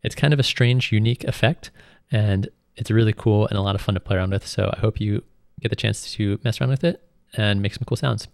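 A man with a lowish, creaky voice talks through a software lesson step by step. His delivery is informal and explanatory, recorded close and very dry. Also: fairly quick, hardly any pauses, no echo. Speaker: music production instructor. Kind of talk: screen tutorial narration, explanatory.

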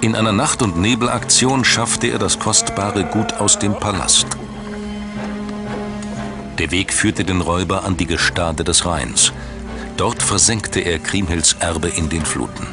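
A man's voice: low-pitched, unhurried. A man reading scripted documentary narration as a studio voice-over. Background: music.

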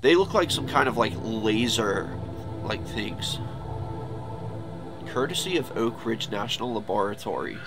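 A man's voice: on the low side, animated, measured pace, long pauses, music in the background. A man in his thirties with a stuffy, nasal voice, chatting casually and rambling as he hosts a reaction video from a small room. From New York, US. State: congested, stuffy nose. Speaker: YouTube reaction host. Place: small room full of horror collectibles.

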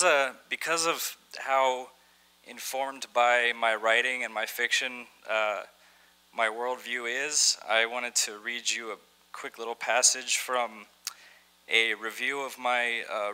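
Bright-voiced man speaking at a podium. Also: mid-range voice, clean tone, rough recording. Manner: halting, many fillers and restarts, wry asides. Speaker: conference speaker. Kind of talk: conference talk with some reading aloud. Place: large dim lecture hall, at a podium. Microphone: podium microphone.

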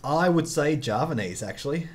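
Joking man with a lively, bouncy voice. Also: fairly high pitch, moderate pace, a little hiss or hum. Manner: joking, self-mocking, laughs often. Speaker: game video host. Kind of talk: casual gameplay chat.

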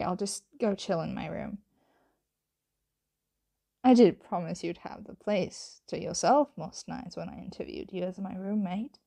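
Tired, secretive vampire roommate for ASMR roleplay, played by a woman: mellow, unhurried, hesitant and evasive. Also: mid-range voice, vocal fry. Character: flustered young female vampire roommate, tired, hungry, secretive. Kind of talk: ASMR roleplay, soft conversational acting.

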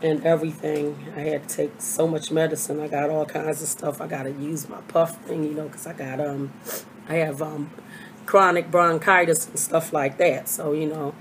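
An older woman, hoarse from a cold, chatting casually through a haul video at her webcam with lots of fillers. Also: deep voice, flat delivery, moderate pace, slight background noise, rough recording. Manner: chatty, rambling with many fillers. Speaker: vlogger. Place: small bedroom. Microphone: webcam at desk.

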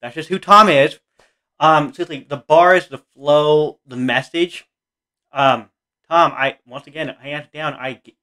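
An American man in his thirties giving casual reaction commentary in a halting, repetitive, earnest way. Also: medium pitch, sharp tone. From Oregon, United States. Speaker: reaction video host. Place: small room indoors.

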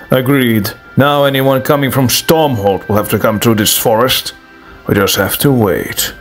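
A man plays an older knight mentor in a fantasy game story. He is grave and loyal, speaking formally at a middling pitch over faint music. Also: measured pace, close to an everyday voice. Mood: calm. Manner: formal, avoids contractions. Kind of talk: fan dub voice acting.

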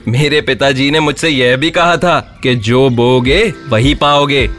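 A man voicing a clever, fearless, polite young villager in an animated Hindi story. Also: medium pitch, a little slow, soft background music, close to an everyday voice. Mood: composed.

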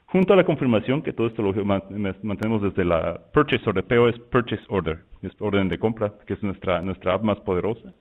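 A man from Mexico with a Mexican Spanish accent, walking through software in a loose, conversational webinar style full of fillers and restarts. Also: lowish voice, lively intonation, brisk, few pauses. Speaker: software presenter. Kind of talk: webinar product walkthrough. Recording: tinny phone-like line.

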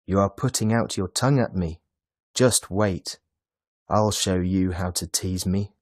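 A stern old Santa Claus from a children's storybook, put on by a man. He scolds with loud indignation and a commanding manner, in a dry recording. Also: deep voice, measured pace, no echo. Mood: indignant threat.

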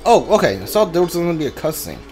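A man giving casual video game commentary in a halting way, stumbling over his words. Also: on the high side, big pitch swings, darkish tone. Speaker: gamer streaming a playthrough. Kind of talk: casual gameplay commentary, reading dialogue aloud. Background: game music.

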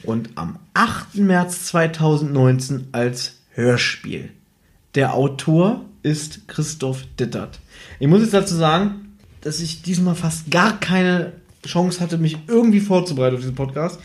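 A man's voice: a little high, animated, a little slow. A tired man co-hosting a podcast, bantering casually in a blunt, teasing way. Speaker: podcast co-host.

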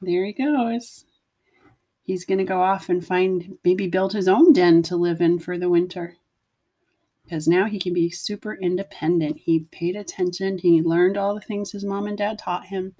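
A woman's voice: medium pitch, a little mellow. A woman teacher reading a picture book aloud to children, explaining things simply and putting questions to her listeners.

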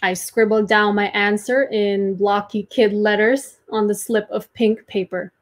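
A young adult woman giving a dramatic reading of first-person prose in a measured, deliberate storytelling manner, recorded indoors at home. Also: mid-range voice, little pitch movement. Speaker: performer reading a literary piece. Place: small domestic room, indoors.

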